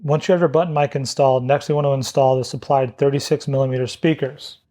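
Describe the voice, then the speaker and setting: A man's voice: medium pitch, fairly even intonation, quick pace, dark tone. A man narrating a step-by-step product installation tutorial as a demonstrator.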